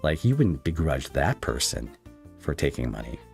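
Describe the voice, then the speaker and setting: A man hosting a podcast interview in a casual conversational style, speaking in a measured, halting, reassuring way. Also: rumbling low, very animated, gravelly, a little mellow, faint music behind.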